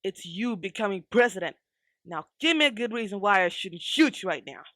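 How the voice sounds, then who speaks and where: Someone acting a stern armed patriot accusing the president, forceful and confrontational, super dry audio, radio drama style. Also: mid-range voice, expressive, brisk, dry recording, lightly acted. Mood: threatening.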